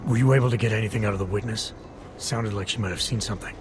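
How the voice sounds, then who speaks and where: An actor playing a terse, skeptical detective in a video game, probing in a clipped, dry, challenging manner. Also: male voice, lowish voice, rapid-fire, natural voice. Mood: businesslike. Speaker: Interpol investigator. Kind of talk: video game cutscene dialogue. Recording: background music under dialogue.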